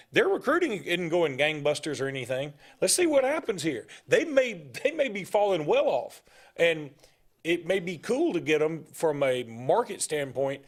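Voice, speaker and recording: Rambling opinionated man. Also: high voice. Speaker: sports talk show co-host. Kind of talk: casual sports talk.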